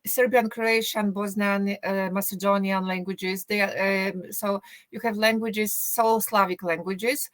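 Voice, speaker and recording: A middle-aged woman, a university teacher speaking English as an in a relaxed interview, thinking aloud with many fillers and restarts and a joking tone. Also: medium pitch, measured pace, few pauses. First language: Polish. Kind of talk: casual conversational English, non-native.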